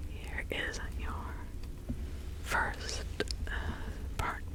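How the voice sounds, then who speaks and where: A woman playing a reassuring seventeenth-century witch counselling a client in an ASMR role-play, whispering in a halting, ritual manner. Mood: solemn, ritualistic.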